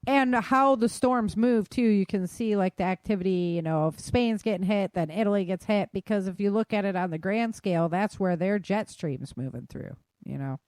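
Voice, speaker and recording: A woman's voice: mid-range voice, normal speed, hardly any pauses, darkish tone, no room sound. An American woman hosting a podcast in an informal, chatty, self-deprecating way, talking through the news unscripted. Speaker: podcast host. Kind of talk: casual unscripted chat about news.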